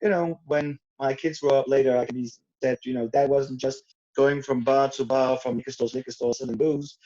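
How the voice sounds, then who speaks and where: Mellow-voiced Danish-born man on a video call. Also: medium pitch, fairly even intonation, a little fast. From Denmark. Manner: chatty, rambling, frequent fillers and restarts. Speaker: guest, rum brand owner. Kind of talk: casual video call conversation. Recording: dull lo-fi video call audio.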